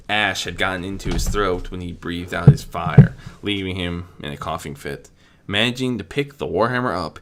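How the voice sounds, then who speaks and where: A man with a bright, somewhat low voice narrates a fantasy adventure. His delivery is scripted and dramatic, that of an amateur reader who now and then trips on a word. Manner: amateur reading with occasional stumbles and self-corrections. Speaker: story narrator.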